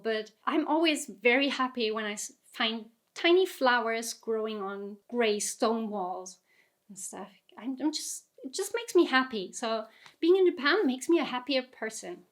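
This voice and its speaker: A woman, her voice sitting a little on the high side. She talks in a chatty, reflective vlog manner and pokes fun at herself now and then. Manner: chatty, self-deprecating, laughs often. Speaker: vlogger.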